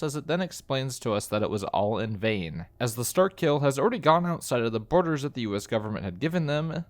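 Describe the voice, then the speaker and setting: A man hosting and narrating a scripted video essay, explaining things and addressing the viewers directly. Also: mid-range voice. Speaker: video reviewer and host.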